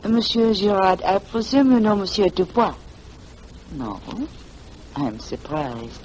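An actress playing a poised, evasive widow who speaks formally and coolly in a 1940s radio drama. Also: female voice, mid-range voice, animated, unhurried, darkish tone, close to an everyday voice. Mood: coolly pointed. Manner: cool, formal, dismissive. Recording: noisy old 1940s radio recording.

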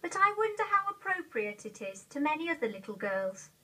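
An eighteen-year-old woman with an English accent recites a poem in a measured, carefully enunciated way on an old video recording. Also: high-pitched, sing-song, pushed and bright, far from the mic. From England. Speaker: poetry reader. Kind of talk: poetry recitation. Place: indoor room, seated.

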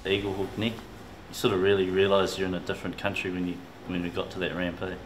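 A man's voice: deep voice, level tone, fast. A man with a New Zealand accent, talking about a fishing trip in an easygoing drawl, tagging lines with "eh". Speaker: fisherman. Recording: roomy sound with music behind.

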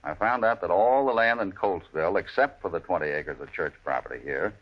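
An actor playing a calm, plain-spoken, heroic cowboy in an old-time Western radio drama, with an American accent and measured, assured delivery. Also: male voice, deep voice. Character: calm, steady, heroic cowboy lawman type. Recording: muffled vintage radio recording.

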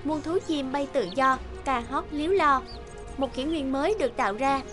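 A woman's voice: high voice, normal speed. A woman narrator reading a scripted fairy tale as a storyteller. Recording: music behind the voice.

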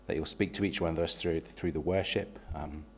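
A man in his thirties with a slightly croaky voice leads a church service, welcoming people and praying in a gentle, hesitant way with frequent ums, speaking into a stand microphone in a small church. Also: a bass voice, steady tone, brisk. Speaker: lay worship leader. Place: small church sanctuary, indoors. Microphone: stand microphone at a lectern.

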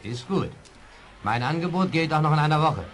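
An actor playing a shady, confident fairground magician in a children's radio play, coaxing with drawn-out words. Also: male voice, on the high side, lively intonation, close to an everyday voice.

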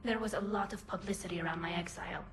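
Woman playing young female alien engineer, earnest, loyal, soft voice, game cutscene, faint music behind. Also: fairly low pitch, fairly even intonation, quick pace, lightly acted. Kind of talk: video game cutscene acting.

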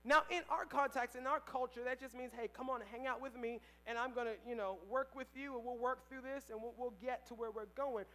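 A young man preaching a sermon on a church stage through a headset mic, swinging between loud emphatic lines and quiet asides. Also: very high voice, steady tone, a little fast, hardly any pauses. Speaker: pastor. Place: church stage, large indoor hall.

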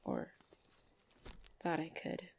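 A woman playing a gentle, shy orc lover in an ASMR roleplay, speaking softly and hesitantly with tender pauses. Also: a little hiss or hum. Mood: wistful, tender.

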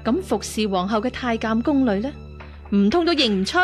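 A woman acting a stern, high-voiced nun master. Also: expressive, a little mellow, faint music behind, lightly acted. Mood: probing. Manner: measured, aloof, interrogating. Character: stern, cold, vengeful nun martial-arts master. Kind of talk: Cantonese radio drama acting.

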